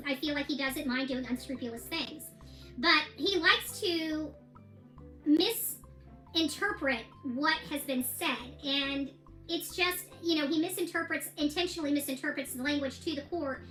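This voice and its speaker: A woman's voice: sits high, bright, forceful tone. An American woman speaking casually and giving her opinions in a video diary, often repeating herself for emphasis. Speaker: self-represented litigant recounting her court case. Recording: echoey room with music behind.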